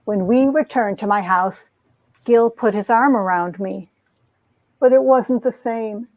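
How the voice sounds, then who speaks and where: Brief: woman as proud, wounded young romance heroine, highish voice, earnest melodrama, dry lo-fi recording. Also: unhurried, dry recording, lightly acted. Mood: melodramatic narration. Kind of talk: dramatic reading of a 1950s romance comic, narration and dialogue. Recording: dull, lo-fi sound.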